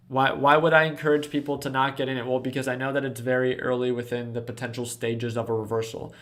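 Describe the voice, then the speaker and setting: A man in his twenties hosting a casual trading livestream, earnestly coaching viewers from a desk at home. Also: mid-range voice. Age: 20s. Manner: earnest coaching, reading chat aloud. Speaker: stock trading livestream host.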